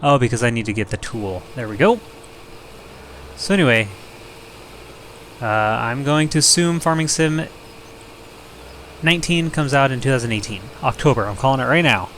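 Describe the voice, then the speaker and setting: A young man hosting a farming game let's play, slightly hoarse and rough-voiced, rambling and joking at himself as he thinks aloud. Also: mid-range voice, animated, normal speed, pauses often, fairly bright tone, a little hiss or hum. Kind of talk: casual gameplay commentary.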